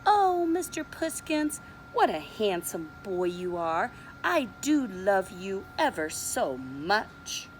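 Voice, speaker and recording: A woman voicing a devoted little girl who dotes on her cat, in a high, gushing, tender voice. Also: very animated, slow pace, slight background noise, rough recording. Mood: adoring.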